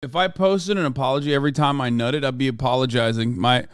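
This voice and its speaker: A man's voice: medium pitch, steady tone, quick pace, clean tone, no room sound, clean studio sound. A man in his thirties ranting in casual reaction commentary, profane and exasperated. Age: 30s. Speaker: streamer reacting to clips.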